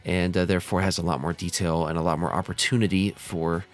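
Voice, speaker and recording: An American man with a slightly hoarse, stuffed-up voice from a cold, giving easygoing, casual tutorial commentary as a channel host. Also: low-pitched, little pitch movement, quick pace, faint music behind. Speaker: host of a model railroad simulator channel.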